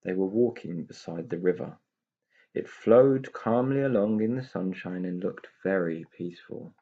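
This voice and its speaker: A man reading a children's story aloud with expressive narration. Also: low-pitched, moderate pace, breathy edge, dull audio.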